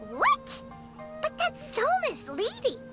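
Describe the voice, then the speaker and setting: A childlike fairy sidekick from a video game. The voice is piercingly high and chirpy, swinging up and down with excitable exclamations. Loud music runs underneath. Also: female voice, slow, heavily acted. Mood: startled, indignant. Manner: squeaky, chirpy, exclamatory, refers to self by name. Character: tiny, childlike, excitable fairy companion girl. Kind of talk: scripted video game dialogue. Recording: prominent background music under the dialogue.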